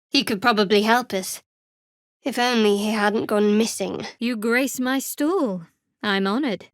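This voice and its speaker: A woman playing a guarded, sardonic young female cleric of a dark goddess in a fantasy video game, with a cool, wry and measured delivery. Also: mid-range voice, dry recording, natural voice. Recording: dry, close studio voice.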